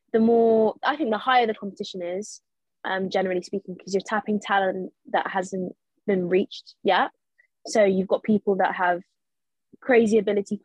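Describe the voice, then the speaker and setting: Young woman with a husky voice. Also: mid-range voice, a little mellow, no echo, rough recording. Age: young adult. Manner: earnest, emphatic. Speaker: jockey, podcast guest. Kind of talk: casual discussion.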